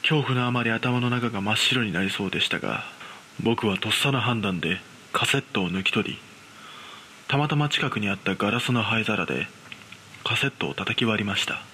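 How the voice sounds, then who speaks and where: Horror radio drama lead: man playing an ordinary, frightened young guy, measured suspenseful narration, forceful tone, faint hiss. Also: on the low side. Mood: panicked, tense. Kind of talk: dramatised ghost story narration.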